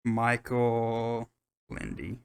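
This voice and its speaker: A man's voice: medium pitch, little pitch movement, drawn-out words, clear, resonant tone, no echo. An American man hosting a music podcast, talking casually and enthusiastically in a rambling, unscripted way with some swearing.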